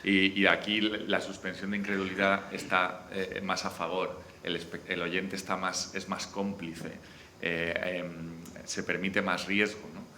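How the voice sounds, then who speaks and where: A man with a Spanish accent from Spain speaks on a discussion panel, unscripted, often halting and restarting his phrases. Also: sits low, level tone, pushed and bright. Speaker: panelist, series director. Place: live forum venue. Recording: echoey room, faint background noise.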